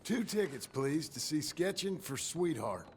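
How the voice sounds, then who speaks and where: An American man playing a gruff, gravelly middle-aged cowboy in a video game, laconic and a little awkward but tender and teasing. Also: on the high side, very animated, measured pace, slight background noise. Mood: gallant, playful. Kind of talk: video game acting, casual banter.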